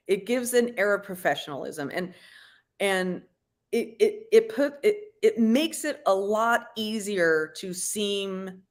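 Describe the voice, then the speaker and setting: A woman hosting a livestream, giving commentary to viewers in a halting, deliberate, explanatory way. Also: mid-range voice. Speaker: livestream host.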